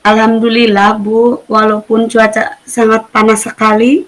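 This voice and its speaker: A woman's voice: on the high side, steady tone, a little slow, rough recording. A woman in a semi-formal coaching conversation, speaking politely and hesitantly as a teacher, with frequent checking tags. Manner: polite, hesitant, tag-heavy phrasing. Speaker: primary school teacher in a coaching session.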